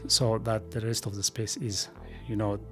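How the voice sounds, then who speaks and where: Low-voiced man, halting, over guitar music. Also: little pitch movement. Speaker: drama production team member. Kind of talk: explanatory documentary interview. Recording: guitar music under speech.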